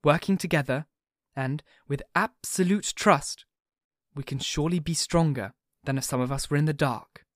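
A male narrator voicing a gentle, grieving young woman who speaks earnestly and with resolve, in a dry audiobook recording. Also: fairly high pitch, moderate pace, no echo, close to an everyday voice. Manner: soft and earnest.

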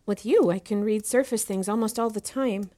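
A woman's voice: mid-range voice, normal speed, warm tone, natural voice. An actress playing an eager, deferential young female student in an audio drama, speaking in an earnest, inquisitive way. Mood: hesitant, confiding. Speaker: voice actor in a fiction podcast. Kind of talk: acted audio drama dialogue.

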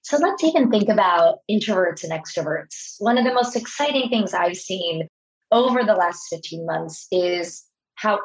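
A woman's voice: mid-range voice, creaky. A woman in her thirties, an author being interviewed, telling stories in an earnest, conversational way and often restarting her sentences. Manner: earnest, anecdotal, repeats words when starting. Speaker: author and guest. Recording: slightly dull, lo-fi audio.

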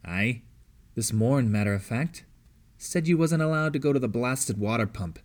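Guy doing a gruff army wife in a storybook, blunt, grumbly, folksy way of talking. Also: mid-range voice, expressive, normal speed, darkish tone, close to an everyday voice.

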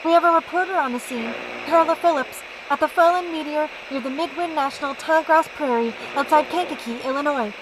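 Radio drama newsreader played by a woman: high pitch, formal bulletin delivery, brisk, noise behind. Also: close to an everyday voice. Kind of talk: radio news bulletin acting.

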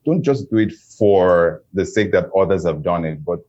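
A man's voice: deep voice, lively intonation, normal speed, a little mellow, dull audio. A man giving earnest, encouraging advice in an interview, reflective and sincere.

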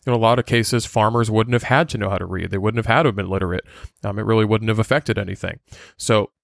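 Earnest podcast host, dry close sound. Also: male voice, fairly low pitch, fast talker, vocal fry, no room sound. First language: Portuguese. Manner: earnest, lecturing with frequent filler sounds.

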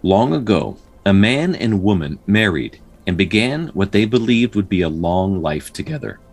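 A man hosting a storytelling show, narrating folktales in a measured, dramatic way with casual asides and a husky voice. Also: low-pitched, big pitch swings. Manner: measured, pausing delivery when telling the tale.

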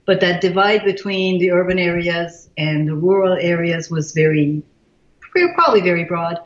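A woman in her sixties from Iran, a guest in an interview, thoughtful and pausing with many fillers and restarts. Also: fairly low pitch, animated, reverberant, dull audio. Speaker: guest, leadership expert. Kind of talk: casual interview.

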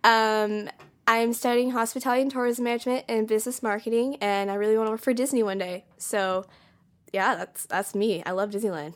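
A woman's voice: on the high side, fairly even intonation, a little fast. A young woman from California, co-hosting a college podcast with bubbly enthusiasm and lots of casual "like"s. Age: young adult. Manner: bubbly, enthusiastic, frequent "like" and laughs. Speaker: podcast co-host.

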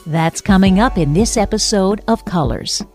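A woman announcing radio promos in a crisp promo delivery. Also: medium pitch, animated, normal speed, music in the background.

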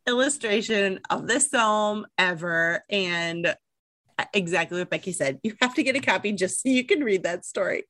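A woman's voice: medium pitch, expressive, moderate pace, a bit bright. A woman hosting a podcast in a conversational style, speaking in an affirming, enthusiastic way. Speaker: podcast host.

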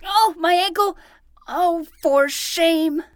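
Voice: very high voice, slow pace, fairly bright tone, dry recording, clean studio sound, heavily acted. An actor playing a dramatic twelve-year-old boy who talks like a self-narrating hard-boiled private detective in a fiction podcast. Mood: pained. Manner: theatrical, self-narrating in third person.

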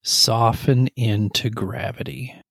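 A middle-aged man guiding a meditation, calmly and gently, in short, measured phrases. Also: medium pitch, vocal fry, no echo. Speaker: meditation guide. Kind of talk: guided meditation narration.

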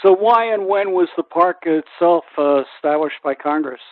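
A man's voice: fairly high pitch, normal speed, no echo. A man hosting a conversational interview, asking questions and introducing guests. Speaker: interview host. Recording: thin, phone-like radio sound.

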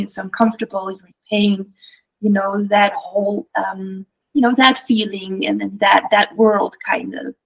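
A woman's voice: medium pitch, vocal fry, husky, rough recording. A young adult woman from Belgium, a clinical psychologist, telling her story as an interview guest, hesitant with many fillers and restarts. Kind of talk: casual interview talk.

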